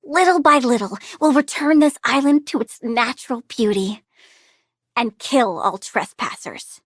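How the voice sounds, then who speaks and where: A woman's voice: on the high side, a little slow, no echo. A woman voicing a childlike plant-villain girl in a video game, petulant and blunt, with cutesy menace and a love of plants. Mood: matter-of-fact menace. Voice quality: bright, girlish.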